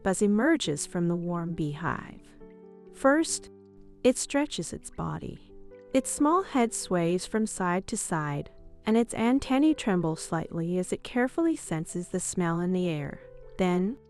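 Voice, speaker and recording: A woman reading a scripted children's bedtime story in an expressive read-aloud manner. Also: lowish voice. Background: music.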